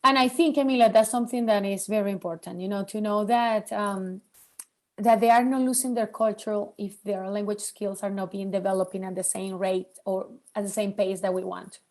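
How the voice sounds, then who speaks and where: A woman in her forties from Venezuela whose first language is Spanish, speaking as a guest about raising bilingual children in an earnest, animated way. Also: mid-range voice, moderate pace. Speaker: podcast guest, bilingual parenting expert. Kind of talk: interview conversation.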